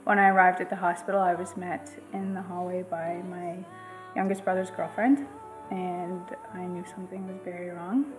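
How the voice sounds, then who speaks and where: A woman in her thirties telling a personal story in a quiet, measured way, in a living room. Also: fairly low pitch, fairly even intonation, music in the background, rough recording. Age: 30s. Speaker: grieving sister. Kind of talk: personal testimony.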